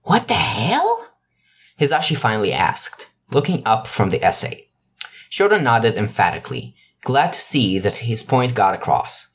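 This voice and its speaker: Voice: mid-range voice, sing-song, normal speed. An amateur narrator reading a scripted piece of fiction. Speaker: narrator, amateur podfic reader.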